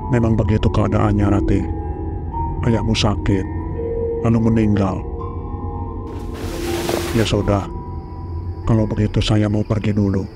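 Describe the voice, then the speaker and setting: A man's voice: fairly low pitch, level tone, a little slow, long pauses. A man voicing a secretive, evasive older village uncle in a horror cartoon, explaining in a matter-of-fact way. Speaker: voice actor. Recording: clear music under voice.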